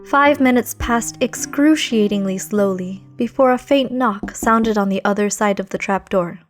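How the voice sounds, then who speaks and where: A woman reading scripted story narration in an expressive, dramatic manner. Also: mid-range voice, moderate pace. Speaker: fan fiction narrator. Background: music behind the reading.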